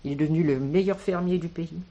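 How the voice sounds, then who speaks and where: An actress playing an older village schoolteacher in a French radio drama, kindly and protective, speaking in a measured, expressive way. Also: female voice, deep voice, dull audio. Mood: reminiscing.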